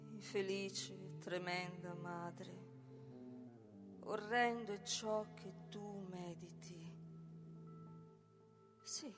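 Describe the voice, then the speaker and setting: A woman's voice: mid-range voice, vocal fry. A woman playing an anxious, loyal nurse in a Greek tragedy, reading in a grave, imploring, declamatory way. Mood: horrified, reproachful. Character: anxious, pleading, loyal nurse of a tragic heroine. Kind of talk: theatrical tragic dramatic reading. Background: music.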